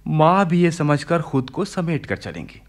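An actor playing a practical, persuasive younger brother in a family radio play, reasoning and coaxing. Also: male voice, a little high, big pitch swings, a little slow. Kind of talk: Hindi radio play acting.

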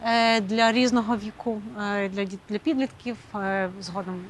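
A woman's voice: mid-range voice, steady tone, moderate pace, slight background noise. A woman, an arts program director, answering thoughtfully with frequent hesitations. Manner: thoughtful, hesitant, many filler sounds. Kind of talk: interview answers. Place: rooftop outdoors, then indoor hall.

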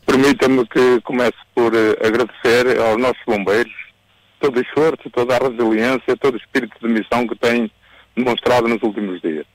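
A man with a European Portuguese accent giving a statement to radio as a fire commander, halting and repeating words. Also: mid-range voice, fairly even intonation, no room sound, poor audio. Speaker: fire brigade commander being interviewed. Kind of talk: spoken statement to a radio reporter.

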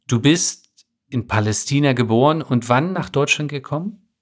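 A man's voice: mid-range voice, expressive, moderate pace. A man hosting a podcast, reading a scripted intro then interviewing his guest in a polite, formal, carefully phrased way. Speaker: podcast host.

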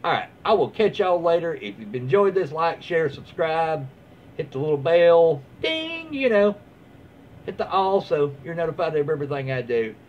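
A dull, noisy home recording of a man reading online stories aloud. His pitch moves around a lot as he slips between reading and easygoing, rambling commentary. He sounds folksy and casual, like a host chatting from his own room. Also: very animated, moderate pace. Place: small room at home, indoors. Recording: dull lo-fi sound with clear background noise.

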